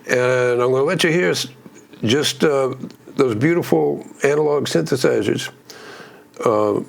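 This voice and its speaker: A man with a gruff voice hosting a casual music breakdown, rambling with restarts and plenty of enthusiasm. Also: medium pitch, measured pace. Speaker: music channel host.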